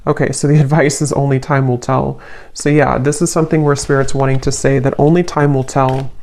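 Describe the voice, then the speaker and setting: A woman giving a casual tarot reading as host, musing aloud in a halting, tentative way. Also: rumbling low, level tone, a little mellow, a little hiss or hum. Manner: halting, musing, hedging delivery. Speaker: tarot reader, channel host.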